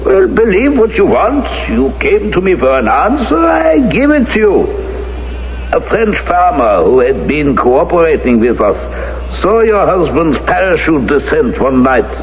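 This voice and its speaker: An actor playing an old German former SS officer in a radio drama, taunting in a halting, formal, condescending way with a German accent. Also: male voice, a little high. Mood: cold, taunting. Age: older. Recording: noisy, dull old radio recording.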